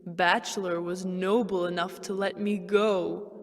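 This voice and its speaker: A woman's voice: lowish voice, level tone, a little slow, faint music behind. An actress playing a solemn young woman in a video game cutscene, speaking theatrically. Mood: solemn relief. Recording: long hall reverb.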